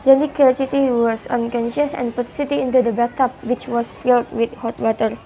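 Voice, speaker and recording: A woman's voice: fairly high pitch, fairly even intonation, fairly quick, warm tone, rough recording. A woman reading scripted narration for a student radio drama.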